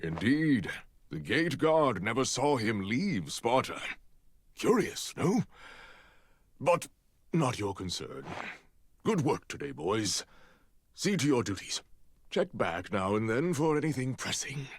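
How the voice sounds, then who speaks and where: An actor playing an authoritative older Spartan mentor with a husky voice and measured, theatrical delivery in a video game. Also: male voice, medium pitch, very animated, no room sound, clean studio sound, exaggerated voice. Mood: thoughtful authority. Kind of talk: scripted video game drama.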